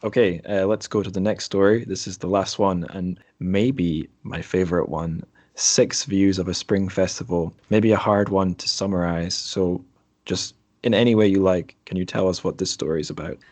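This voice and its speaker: A man with a low voice hosts a podcast interview. He speaks in a casual, conversational way as he frames his questions. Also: steady tone, normal speed, a little mellow.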